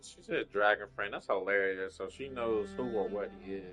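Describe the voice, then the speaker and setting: A man's voice: on the low side, moderate pace, fairly bright tone. Guy casually commenting over a video game, swears a bit, music behind him. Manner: offhand, swearing. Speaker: gaming streamer.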